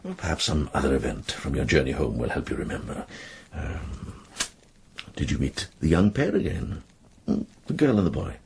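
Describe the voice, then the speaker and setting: An actor playing a kindly, inquiring Benedictine monk in a radio drama, measured and gentle. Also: male voice, rumbling low, huge pitch swings, a little hiss or hum, heavily acted. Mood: soothing.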